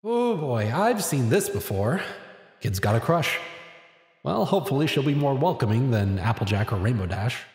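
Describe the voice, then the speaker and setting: A man's voice: medium pitch, very animated, moderate pace, a little mellow, husky, full character voice. A man voicing a wary, casual young male hero with a dry manner in a story.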